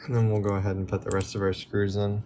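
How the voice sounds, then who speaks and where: A man hosting a relaxed, chatty repair walkthrough, his voice going a bit hoarse as he works. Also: lowish voice, quick pace, slight background noise. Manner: relaxed, chatty, with many fillers. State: losing his voice. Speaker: tech repair video host.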